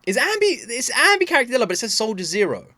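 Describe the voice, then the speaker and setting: A man giving casual, unscripted reaction commentary as the host, thinking aloud in an animated, puzzled way. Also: extremely high pitch, racing, dry recording.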